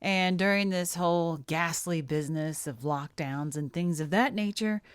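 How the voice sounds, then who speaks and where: A woman's voice: fairly low pitch, normal speed, clear, resonant tone, no room sound, studio quality. A woman giving blunt, opinionated commentary on body language, sometimes dropping to a whisper. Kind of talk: video commentary.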